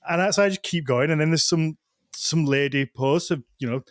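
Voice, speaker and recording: A man's voice: a little high, moderate pace, no room sound, clean studio sound. Rambling, chatty male podcast host. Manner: rambling, full of "like" fillers, acts out quoted posts. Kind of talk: casual chat.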